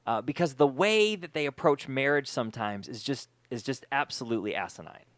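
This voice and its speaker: A man's voice: mid-range voice, expressive, a little fast, a bit bright, dry recording. A man hosting a podcast in casual chat, speaking emphatically and often repeating words for emphasis. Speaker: podcast host.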